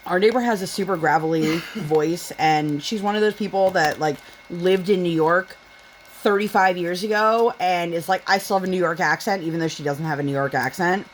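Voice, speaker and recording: A rambling, chatty woman with a slightly low voice tells family stories while she crafts at home. She fills her talk with fillers and slips into the voices of the people she quotes. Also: moderate pace, a little hiss or hum, rough recording. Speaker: vlogger, mother. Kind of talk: casual storytelling chat while crafting.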